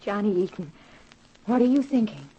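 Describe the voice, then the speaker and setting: An eager secretary, speaks with a mid-pitched, slightly husky voice. She is earnest and full of questions, acted in the manner of a 1950s radio detective show. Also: very animated, normal speed, mellow, lo-fi sound. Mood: anxious curiosity. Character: eager, inquisitive young female secretary to a detective. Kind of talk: 1950s radio drama acting. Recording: old 1950s radio recording.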